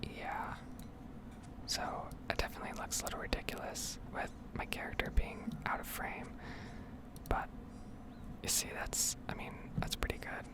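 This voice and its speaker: A woman whispering breathily in an unhurried, rambling ASMR gaming commentary, thinking aloud close to the microphone. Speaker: gaming ASMR video host.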